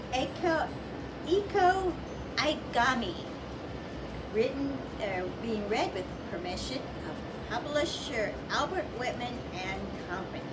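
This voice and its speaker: A woman's voice: fairly high pitch, very noisy. A woman reading aloud at a children's storytime as a librarian, in a sing-song manner with simple questions to young listeners.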